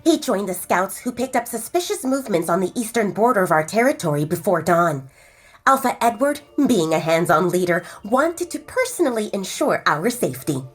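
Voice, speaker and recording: A woman's voice: mid-range voice, big pitch swings, moderate pace, husky. A woman voicing a gracious, formal pack leader's wife in an audio story, composed and polite.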